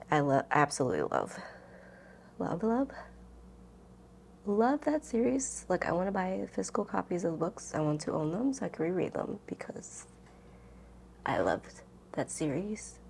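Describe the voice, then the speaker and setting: A woman's voice: fairly low pitch, big pitch swings, unhurried, vocal fry, long pauses, darkish tone, a little hiss or hum. A woman chatting casually as a book vlogger, rambling and interrupting herself in a giggly, informal way. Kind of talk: casual chat to camera, book tag.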